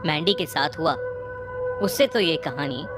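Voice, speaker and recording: A woman narrating a scripted horror story in a measured, suspenseful way, phrase by phrase. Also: low voice, huge pitch swings. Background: prominent music bed.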